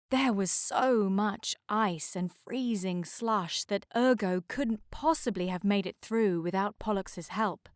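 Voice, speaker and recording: A woman narrating a children's storybook aloud in a measured, clearly articulated way. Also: mid-range voice, no room sound, studio quality.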